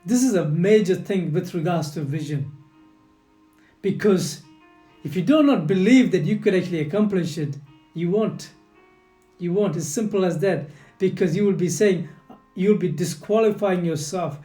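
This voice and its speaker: A man's voice: sits high, moderate pace. A man whose first language is not English, giving an earnest motivational leadership lesson and speaking straight to the listener with lots of repetition. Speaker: leadership coach. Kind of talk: motivational teaching talk. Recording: echoey room with soft guitar music.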